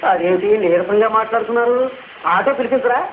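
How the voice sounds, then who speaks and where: A man playing a breezy, flattering son-in-law in a radio comedy drama, chatty, insistent and ingratiating. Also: high-pitched, fast talker, natural voice. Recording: echoey, narrow-band, noisy old radio recording.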